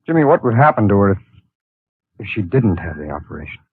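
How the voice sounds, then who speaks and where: An actor playing a hesitant, uneasy husband in a 1950s radio drama. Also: male voice, fairly low pitch, very animated, quick pace, husky, dry recording, full character voice. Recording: tinny lo-fi 1950 radio broadcast.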